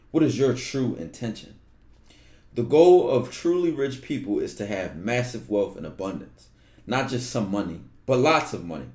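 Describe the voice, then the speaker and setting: A young man streaming from his bedroom on a headset, reading a book aloud with relaxed, slangy asides. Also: medium pitch, roomy sound. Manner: relaxed, slangy, loud outbursts when joking.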